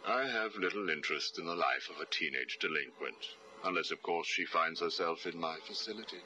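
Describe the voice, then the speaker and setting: An actor playing a cold, arrogant older psychiatrist villain in a video game, speaking in a measured, clinical, condescending way. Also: male voice, animated, pushed and bright, slight background noise, full character voice. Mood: cold, smug. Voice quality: very deep.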